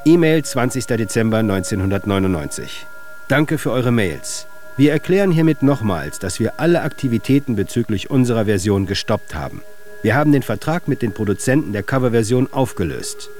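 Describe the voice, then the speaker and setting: A man's voice: mid-range voice, expressive, a little fast, a little mellow, soft background music. A man doing scripted voice-over for a radio feature, reading translations and documents. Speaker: voice-over reader.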